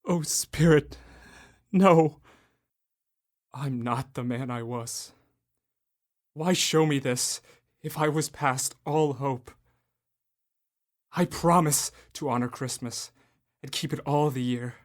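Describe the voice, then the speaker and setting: A man's voice: mid-range voice, slow pace, long pauses, lightly acted. A young man playing a remorseful old miser who pleads with a spirit in an anguished voice. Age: young adult. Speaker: Ebenezer Scrooge. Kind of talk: student radio drama.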